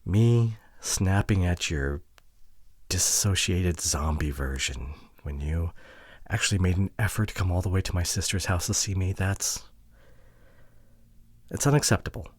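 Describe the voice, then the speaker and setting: Man acting as husky, low-voiced, hurting young boyfriend. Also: no echo, clean studio sound. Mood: regretful, tense. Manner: halting, hushed, emotionally vulnerable delivery. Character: hurt, frustrated introverted boyfriend pleading with partner. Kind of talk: intimate ASMR roleplay audio drama.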